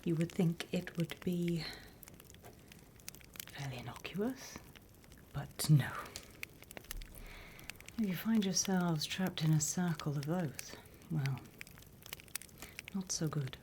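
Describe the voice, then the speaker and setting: A British woman gives a whispered ASMR roleplay lesson in a low, breathy voice. She takes her time, speaking slowly and gently as a conspiratorial instructor. There is clear background noise on the recording. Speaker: ASMR roleplay performer playing a demons' class instructor.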